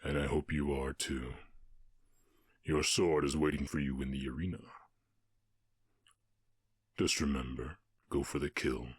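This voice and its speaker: A man voicing a calm, commanding orc warchief in an ASMR roleplay, with a husky voice and a measured, condescending, teasing manner. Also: no room sound, studio quality, full character voice. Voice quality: very deep, husky.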